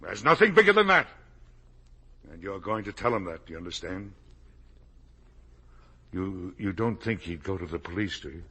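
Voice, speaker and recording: A man's voice: sits low, sing-song, moderate pace, pauses often, sharp tone, exaggerated voice. An actor playing a guilt-ridden older father and factory owner in a 1950s radio drama, defensive, self-justifying and pleading. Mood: anxious, pleading. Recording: vintage 1950 radio broadcast.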